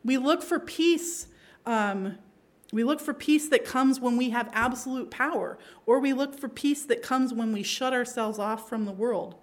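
A woman's voice: on the high side, unhurried. A middle-aged woman who grew up in Pakistan gives a sermon in a conversational style and often checks in with the listeners. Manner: conversational, rhetorical tags. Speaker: preacher.

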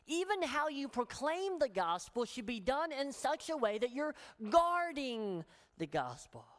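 A woman's voice: high-pitched, very animated, unhurried. A woman pastor with a Southern accent, preaching a sermon in an earnest, emphatic way and asking many rhetorical questions. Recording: long hall reverb.

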